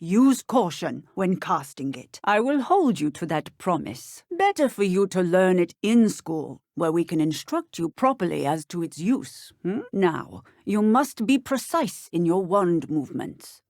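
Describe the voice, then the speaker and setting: Strict lady magic teacher for a video game, precise and proper, takes her time, lively intonation. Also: fairly low pitch, unhurried, hardly any pauses, no room sound, studio quality, heavily acted. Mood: measured, instructive. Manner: formal, precise, schoolteacherly. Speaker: voice actor. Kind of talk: scripted video game dialogue.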